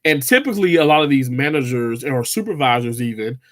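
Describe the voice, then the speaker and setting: A man's voice: mid-range voice, animated, fairly quick. A man, chatting casually with viewers on a livestream, rambling with lots of fillers and restarts. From Mississippi, US. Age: 30s. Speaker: livestream host.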